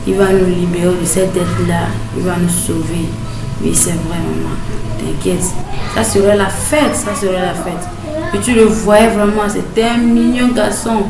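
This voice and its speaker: A woman's voice: medium pitch, unhurried, natural voice. A woman voicing a gushing, reassuring young bride-to-be in a cartoon, dazzled by wealth. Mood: reassuring, excited. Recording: echoey, distant mic, music underneath.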